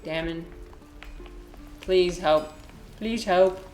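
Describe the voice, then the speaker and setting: A woman's voice: lowish voice, very slow, darkish tone, soft background music. Chatty, giggly woman in her twenties. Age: 20s. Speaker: streamer. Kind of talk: casual gameplay commentary. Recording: echoey room with faint noise.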